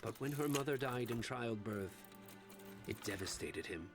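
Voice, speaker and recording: A man acting as a solemn storyteller, narrating an animated fairy tale in scripted storybook style. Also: lowish voice, normal speed, music in the background.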